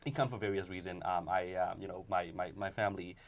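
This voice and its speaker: Fast-talking man with a Burmese accent. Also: deep voice, little pitch movement. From Burma. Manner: quick, warm, laughs often, many "mm-hmm" backchannels. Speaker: host. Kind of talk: public radio panel discussion.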